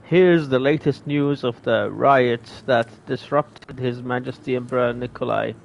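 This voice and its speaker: Gamer guy reading game text slow, gravelly voice, stops to explain words. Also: mid-range voice, fairly even intonation, a little hiss or hum, low-quality recording. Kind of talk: casual gameplay commentary.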